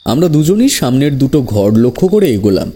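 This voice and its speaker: A man's voice: medium pitch, sing-song, fairly quick, dark tone, breathy edge. A man narrating a scripted horror story in the first person.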